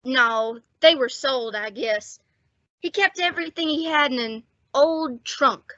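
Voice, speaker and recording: A woman playing a weary, wounded young male fugitive who talks haltingly in an old-time radio drama. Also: high-pitched, sharp tone, dry recording. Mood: hesitant. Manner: halting, unsure. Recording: dull, dry lo-fi audio.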